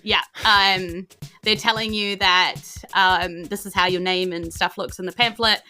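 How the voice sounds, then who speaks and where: A woman's voice: on the low side, fairly even intonation, measured pace, bright, forceful tone, soft background music. A woman narrating a tabletop game casually as game master, chatty and conversational. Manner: chatty, conversational, acts out reactions.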